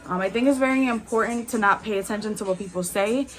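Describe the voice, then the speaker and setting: A woman in her twenties chatting candidly as a beauty vlogger while doing her makeup, with frequent "like"s and soft laughs. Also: a little high, quick pace, soft background music, poor audio. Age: 20s.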